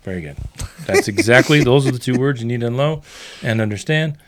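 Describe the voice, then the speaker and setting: A man's voice: mid-range voice, fairly quick. Chatty male podcast host. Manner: chatty, runs through lists of players. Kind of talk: casual chat.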